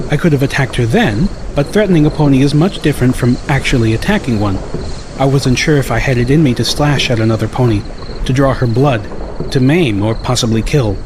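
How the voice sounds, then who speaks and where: A man's voice: medium pitch, background noise. A man reading a scripted first-person story as an audiobook narrator.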